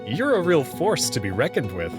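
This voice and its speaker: Young human male lead, acted by a man, anxious but wry, animated intonation, visual novel drama over a clear music bed. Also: on the high side, moderate pace. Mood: teasing. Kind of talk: visual novel narration and character acting. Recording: music behind the voice.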